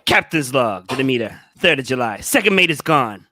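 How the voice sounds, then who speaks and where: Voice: medium pitch, big pitch swings, moderate pace, sharp tone. A performer playing a grave male ship captain dictating an ominous log in clipped phrases, in a horror play.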